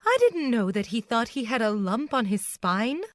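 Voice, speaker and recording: A man's voice: very high-pitched, big pitch swings, normal speed, no echo, clean studio sound, pitched above the performer's own voice. A man voicing an anxious, breathless nurse in a storybook reading. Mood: worried.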